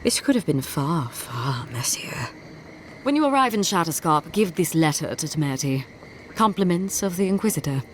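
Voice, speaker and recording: A woman playing a smug, zealous inquisitor's agent in a fantasy game, speaking in a measured, formal and condescending way with courteous menace. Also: sits low, sing-song, a little hiss or hum. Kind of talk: scripted fantasy game dialogue.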